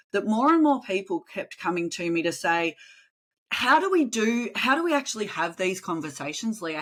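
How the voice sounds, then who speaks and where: Aussie woman guest on a podcast, bright voice, chatty and animated. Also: fairly low pitch. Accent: Australian. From Australia. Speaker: podcast guest, communication trainer. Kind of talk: casual interview chat.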